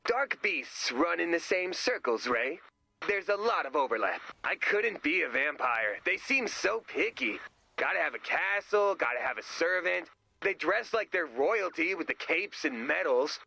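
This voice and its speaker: High-pitched nervous, goofy sidekick. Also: male voice, very animated, unhurried, vocal fry, sharp tone, no echo, full character voice. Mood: eager, chatty. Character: anxious, excitable, goofy male sidekick. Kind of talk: video game voice acting.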